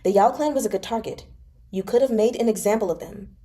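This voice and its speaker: A woman voicing a composed, calculating male adviser in a novel read-aloud, measured, reasoning and coolly persuasive. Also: mid-range voice, warm tone, lightly acted. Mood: coolly reproachful.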